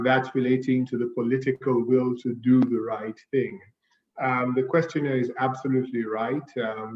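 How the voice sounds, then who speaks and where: Guy on a video call, lots of ums, roomy echo, kinda muffled sound. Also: medium pitch. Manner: measured, many filled pauses. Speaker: report author answering questions. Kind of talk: webinar panel answer.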